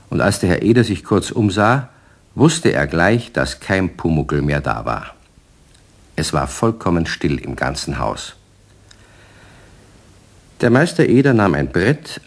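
A man with a deep voice narrates a children's radio play. His intonation is lively and varied. He tells the story in measured phrases, addressing young listeners directly. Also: slightly rough.

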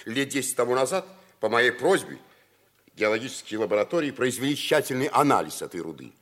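Veteran Arctic hand, mature: weighty, earnest, husky, very expressive intonation, vintage radio-play declamation. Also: male voice, medium pitch, a little fast. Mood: grave, explanatory. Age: middle-aged or older figure. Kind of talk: 1950s radio play acting.

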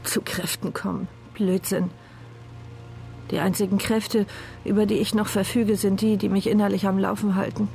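A woman's voice: mid-range voice, flat delivery, moderate pace, gravelly, darkish tone, lightly acted. Actress as bitter, reflective woman narrator, faint music. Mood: bitter, sardonic. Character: bitter, sardonic paralysed mute woman narrating her inner thoughts. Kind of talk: radio drama first-person inner monologue. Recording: studio radio play with music underneath.

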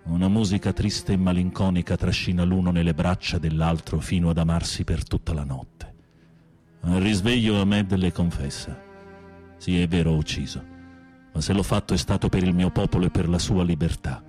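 An actor in an Italian radio drama playing a sensitive prisoner with a literary, dramatic, expressive way of speaking. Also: male voice, sits low, normal speed, faint music behind, close to an everyday voice. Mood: melodramatic storytelling. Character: theatrical, sensitive male prisoner telling melodramatic tales.

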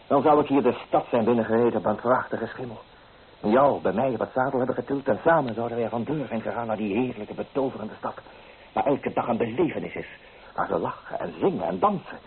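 A man's voice: medium pitch, animated, brisk, a little hiss or hum, natural voice. Tinny radio-play recording, actor as sardonic flirtatious man. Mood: passionate. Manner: teasing, theatrical, persuasive.

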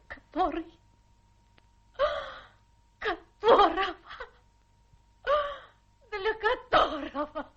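Self-pitying adventuress, radio drama. Very high, unhurried delivery, plaintive, flirtatious, stagey tragic airs. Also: female voice, fairly bright tone, full character voice. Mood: proud, sentimental. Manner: melodramatic, plaintive, coquettish. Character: theatrical, self-pitying adventuress feigning a tragic fallen lady. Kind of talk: theatrical radio play acting.

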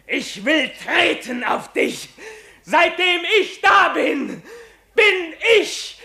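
An actor playing a wild, vengeful young prince in a theatrical radio drama, explosive and imperious. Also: male voice, extremely high pitch, sing-song, drawn-out words, creaky, sharp tone, heavily acted. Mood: furious triumph.

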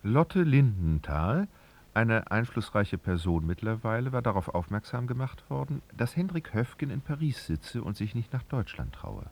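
A man narrating a literary radio drama in a gravelly voice, with expressive, knowing, ironic storytelling. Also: fairly low pitch, normal speed, heavily acted. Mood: wry narration. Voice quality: creaky, gravelly.

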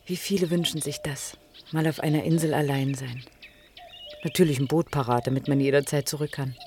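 An actress playing a teasing, inquisitive young student in a naturalistic radio play, probing with questions. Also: female voice, sits low, fairly even intonation, brisk, darkish tone, faint music behind, lightly acted. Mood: pensive, challenging. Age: young adult.

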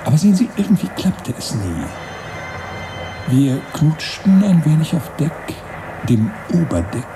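An actor playing a wistful, romantic writer-narrator in a literary radio drama, musing deliberately in short phrases. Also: male voice, mid-range voice, background noise. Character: wistful romantic male narrator-writer recalling his emigration voyage. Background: music.

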